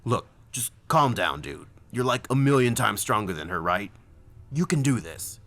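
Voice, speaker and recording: A man's voice: mid-range voice, very animated, normal speed, fairly bright tone, voice pushed up. A man voicing a brash, crude, easygoing young man with blunt, slangy talk in a narrated story. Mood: urging, reassuring. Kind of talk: acted dialogue in a narrated story.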